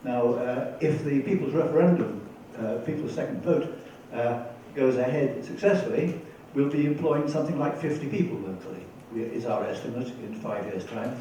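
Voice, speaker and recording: A man in the audience asking a question at a debate, speaking deliberately. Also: medium pitch, lively intonation, dark tone. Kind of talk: question from the floor. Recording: echoey hall, far from mic, faint noise.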